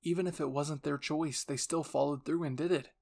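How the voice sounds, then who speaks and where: A man's voice: a little high, fairly even intonation, brisk, gravelly, darkish tone. A man voicing the anxious, defiant inner thoughts of a young soldier in an anime story. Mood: bitter, resolved. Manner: earnest inner monologue.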